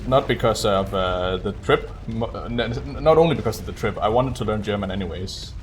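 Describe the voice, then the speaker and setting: A man with a fairly low voice talks casually through a game he is streaming. His delivery is halting and conversational, with clear noise and game ambience behind him. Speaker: video game speedrunner and streamer. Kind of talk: casual live gameplay commentary and chat.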